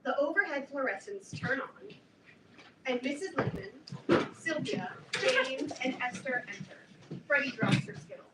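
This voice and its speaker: A woman narrates stage directions for a live play reading in a high voice. She sounds distant in an echoey room, with loud noise behind her. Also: expressive, unhurried. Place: live reading in a roomy indoor space.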